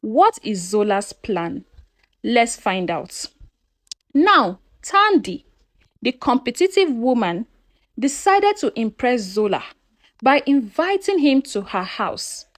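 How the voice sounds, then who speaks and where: A woman's voice: on the high side, very animated, slow. A woman narrating a scripted folktale in a dramatic storytelling manner, posing questions to her listeners.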